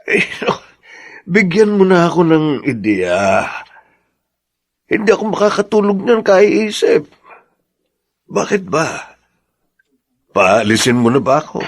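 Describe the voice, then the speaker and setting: Humble farmhand, lively intonation. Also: male voice, a little high, slow pace, pauses often, heavily acted. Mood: puzzled, flustered. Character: humble, kindly, easily flustered provincial farmhand. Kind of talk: Filipino radio drama acting.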